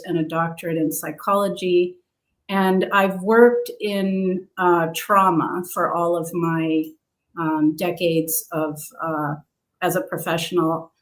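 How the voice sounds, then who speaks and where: Woman interview guest, deliberate and slow, hesitant with ums. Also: on the low side, rough recording. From grew up abroad in several countries. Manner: halting, many fillers. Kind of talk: interview answers.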